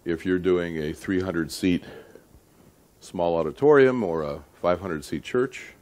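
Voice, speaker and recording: A middle-aged man lecturing at a seminar in a deliberate, emphatic but conversational way, often checking in with his listeners. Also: low voice, darkish tone. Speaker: audio engineering lecturer.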